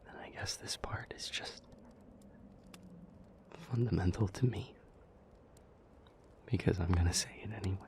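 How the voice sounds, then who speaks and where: A man playing a weary, anguished demon in an intimate audio roleplay, pleading haltingly in a breathy near-whisper. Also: sits low. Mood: weary, resigned. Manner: halting, slow, hushed delivery with long pauses.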